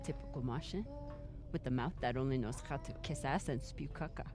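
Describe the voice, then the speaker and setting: An actress playing a tough, sardonic spacer woman in a video game, dry, teasing and commanding, gruffly fond underneath. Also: very deep voice, brisk, over music. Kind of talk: video game cutscene acting.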